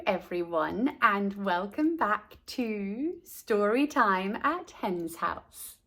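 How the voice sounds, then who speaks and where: A woman hosting a storytime, reading a children's storybook aloud in a deliberate, expressive way and speaking directly to young listeners. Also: mid-range voice. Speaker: storytime host and narrator.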